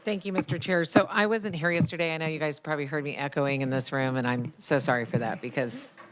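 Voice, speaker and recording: A woman committee member speaks up with a loud voice, asking to clarify a point. She sounds apologetic. Her voice is low for a woman. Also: fairly even intonation, fast talker, a little hiss or hum. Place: legislative committee room.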